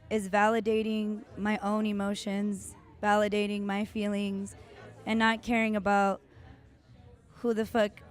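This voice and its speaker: Even-voiced, assertive woman. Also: mid-range voice. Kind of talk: reflective interview answers.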